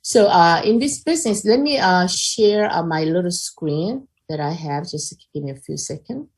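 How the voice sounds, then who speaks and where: Korean-accented older lady talking loosely on a zoom call, lots of uh and you know, deep-ish voice. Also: expressive, moderate pace. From South Korea. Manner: chatty, unscripted, with many fillers. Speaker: presenter. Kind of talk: personal testimony on a video call. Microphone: video call.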